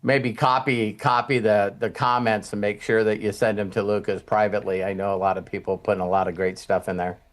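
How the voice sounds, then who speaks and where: A man hosting an online group call, speaking in a casual, heartfelt way, halting and repeating phrases for emphasis. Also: on the low side, little pitch movement. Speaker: host of an online community call.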